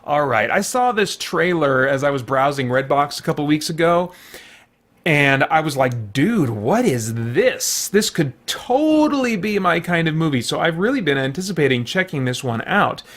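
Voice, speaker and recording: A man hosting a casual, unscripted movie review in an informal, rambling way with animated asides. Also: a little high, normal speed.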